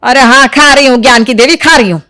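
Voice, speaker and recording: A woman playing a wary middle-aged Indian mother-in-law in an animated moral story, grumbling in exasperated asides and retorts. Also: high voice, fast, no echo, studio quality.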